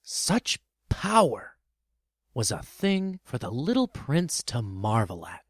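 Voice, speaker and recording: A man narrating a children's story as scripted, expressive storybook narration. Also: medium pitch, slow pace, no echo, clean studio sound.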